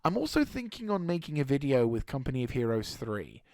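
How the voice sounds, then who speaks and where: A man with a slightly hoarse throat giving a casual channel update as a gaming YouTuber, rambling and halting with frequent restarts. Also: mid-range voice, very animated, a little mellow, no echo.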